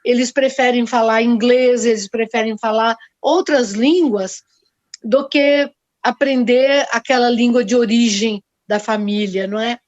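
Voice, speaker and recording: A woman in her sixties moderating a panel, speaking in a measured, explanatory way over a video call. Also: on the high side, steady tone, no room sound. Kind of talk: discussion panel talk. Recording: dry, dull video call audio.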